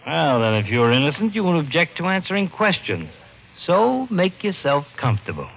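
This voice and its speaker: An actor playing a weary, no-nonsense police lieutenant with a worn-out voice in an old radio crime drama. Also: male voice, a little high, sing-song, moderate pace, gravelly. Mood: firm questioning. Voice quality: worn-out sounding. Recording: muffled, slightly noisy old radio recording.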